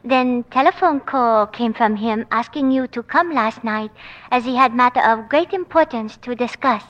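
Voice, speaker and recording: Actress as high-voiced devoted foreign maidservant. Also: female voice, normal speed, a bit bright, muffled recording, lightly acted. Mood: dutiful, confiding. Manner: formal clipped broken English, dropped articles. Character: loyal, secretive foreign maidservant, devoted to her mistress. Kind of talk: 1940s radio mystery acting. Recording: vintage 1940s radio recording.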